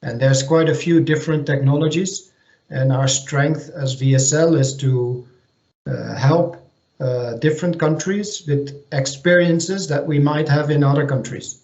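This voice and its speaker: A slow-speaking man delivers an online technical presentation, halting often and restarting his sentences. His voice sits a little high, and it comes through echoey, muffled video-call audio. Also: a little mellow. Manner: hesitant, many ums and restarts. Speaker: technical manager presenting at a seminar.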